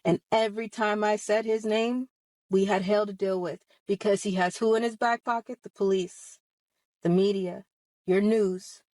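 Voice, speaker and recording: Woman, 30, online host. Impassioned, confrontational testimony, mid-pitched, dry close sound. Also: fairly even intonation, normal speed, darkish tone, no echo. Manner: emphatic, confrontational, rhetorical questions. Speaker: online video host speaking about personal experience. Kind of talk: impassioned personal testimony straight to audience.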